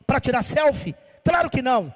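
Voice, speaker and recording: A man's voice: extremely high pitch, very animated, brisk. A man from Bahia, Brazil, preaching a sermon in a sing-song cadence, firing rhetorical questions at the congregation. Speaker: pastor. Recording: long hall reverb.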